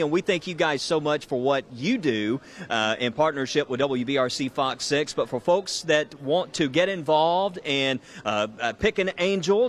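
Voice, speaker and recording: A man, a meteorologist reporting live from a community event, talking in a friendly, loose way with fillers. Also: on the high side.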